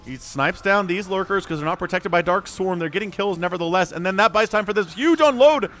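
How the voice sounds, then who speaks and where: A man calling a live strategy game match solo, his voice a little hoarse and stuffy from a cold, swinging from calm analysis to loud exclamations. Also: high-pitched, brisk, soft background music. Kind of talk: live esports play-by-play commentary.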